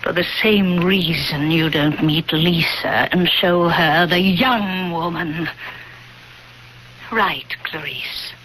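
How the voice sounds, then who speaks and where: A woman's voice: fairly low pitch, slow pace, a bit bright, a little hiss or hum, poor audio. An actress playing an anxious young woman in a 1970s radio drama, speaking hesitantly and dramatically, on an old broadcast recording. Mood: intense, pleading. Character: anxious young woman hiding a supernatural secret.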